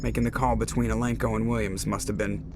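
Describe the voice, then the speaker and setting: A man's voice: fairly low pitch, little pitch movement, quick pace, music in the background, close to an everyday voice. A man playing a loyal, informal spaceship pilot in a video game. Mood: awkward, sorry. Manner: informal, conversational. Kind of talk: video game character acting.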